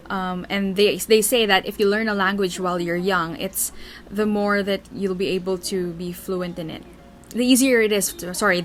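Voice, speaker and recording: A woman's voice: mid-range voice, a little hiss or hum. A young woman with a Filipino accent, chattily reading out fan questions and answering them at length in a casual Q&A video. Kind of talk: casual Q&A vlog.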